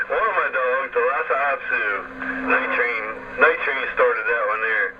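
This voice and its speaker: A man's voice: mid-range voice, takes it slowly. A man from Pennsylvania in casual chat, rambling and repeating himself in an easygoing way. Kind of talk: casual chat over radio link. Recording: dull lo-fi radio audio with clear noise.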